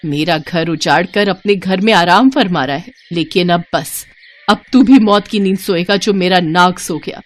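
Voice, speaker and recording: A woman's voice: fairly low pitch, measured pace, natural voice. A woman voicing a grieving, vengeful female snake shapeshifter in a storybook tale, dramatic and emotional.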